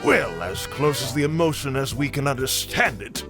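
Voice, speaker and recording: Man acting a genial, lively older scientist. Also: medium pitch. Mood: philosophical. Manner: erudite, measured. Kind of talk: comic dub voice acting. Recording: music behind the voice.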